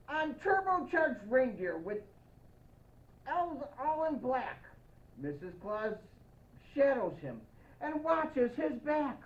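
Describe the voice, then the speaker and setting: High, slow, expressive woman reading a storybook. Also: muffled recording. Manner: playful, expressive, addressing young listeners. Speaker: storybook reader in the persona of Santa. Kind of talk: children's picture-book read-aloud with playful asides.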